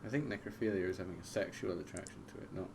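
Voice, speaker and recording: A man's voice: sits low, very fast, gravelly, husky. A young man, co-hosting a casual podcast chat, swearing freely and calling his friend mate. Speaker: podcast co-host. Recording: faint background noise.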